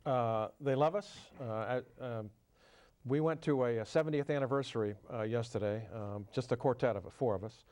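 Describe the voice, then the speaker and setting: A man who sings in a barbershop quartet, answering interview questions hesitantly with many pauses and fillers. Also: medium pitch, smooth. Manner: hesitant, many ums and uhs, warm-hearted.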